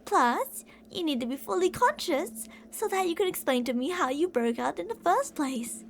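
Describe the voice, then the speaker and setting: A woman's voice: extremely high pitch, big pitch swings, normal speed, a little hiss or hum, exaggerated voice. A woman playing an obsessive older female kidnapper in an ASMR roleplay, cooing and possessive, doting yet menacing, with theatrical delivery. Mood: calm, threatening. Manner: cooing, possessive, theatrical, with pet names and whispered phrases.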